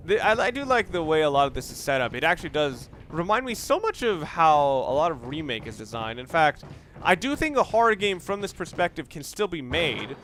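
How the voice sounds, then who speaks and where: A man's voice: fairly high pitch, a bit bright, a little hiss or hum. A man doing casual, rambling video game commentary, informal and opinionated, with some mild swearing. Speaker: let's play commentator.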